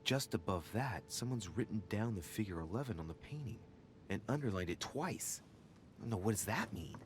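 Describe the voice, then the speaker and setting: An actor playing an inquisitive young man investigating a mystery in a video game, musing aloud in a deliberate, theatrical way. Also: on the low side, expressive, slight background noise, lightly acted. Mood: musing, curious. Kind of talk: dramatic game narration, thinking aloud.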